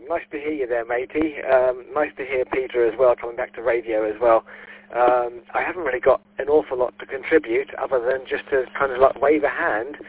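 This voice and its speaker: A man's voice: medium pitch, breathy edge. A man on amateur radio, chatting casually and jokily, with lots of ums and uhs. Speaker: ham radio operator. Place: holiday home patio. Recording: tinny radio link.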